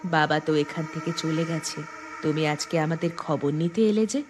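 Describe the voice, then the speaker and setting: A woman voicing the grim, vengeful ghost of a murdered village girl in an animated horror story, speaking slowly and plaintively. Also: low-pitched, slight background noise, close to an everyday voice. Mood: eerily calm.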